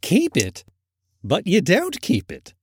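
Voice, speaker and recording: A man's voice: high voice, huge pitch swings, slow, breathy edge, dry recording, clean studio sound, pitched above the performer's own voice, heavily acted. A man as a cheerful, swooping young nephew. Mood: pleading. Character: cheerful, warm-hearted young nephew, gaily earnest. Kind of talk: storybook character reading.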